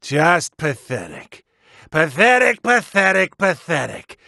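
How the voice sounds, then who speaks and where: Haughty, drawling, gravelly cat god. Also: male voice, on the high side, big pitch swings, takes it slowly, bright, forceful tone, no echo, studio quality, exaggerated voice. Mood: annoyed, scornful. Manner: theatrical, condescending, drawling. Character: haughty, playful male cat-like god of destruction. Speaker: video game character. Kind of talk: video game fighting voice lines.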